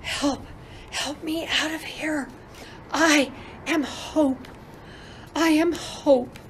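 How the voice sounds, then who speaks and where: A plaintive little spirit, acted by a woman in a radio play. The voice is high and unhurried, declaiming each phrase theatrically. There is faint noise on the recording. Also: fairly even intonation. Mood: pleading, earnest. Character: Hope, plaintive little spirit freed from a box.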